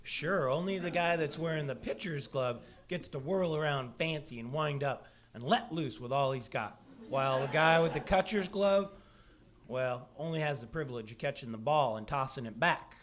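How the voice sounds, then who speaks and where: An actor playing a folksy, matter-of-fact son who explains things patiently in an old-time radio comedy. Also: male voice, a little high, expressive, normal speed, a bit bright. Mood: dry, explaining. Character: dry, know-it-all son explaining patiently.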